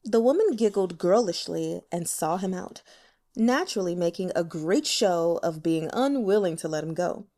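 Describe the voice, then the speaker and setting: A woman's voice: lowish voice, animated, normal speed, dark tone. A woman reading fiction aloud as an audiobook narrator, in a dramatic storytelling style.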